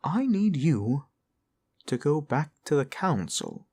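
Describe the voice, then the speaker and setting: A man's voice: on the high side, very animated, takes it slowly, dark tone, breathy edge, heavily acted. A man voicing a polite, scheming lich necromancer in a fantasy audio roleplay, genteel yet commanding and wheedling. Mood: brisk, commanding.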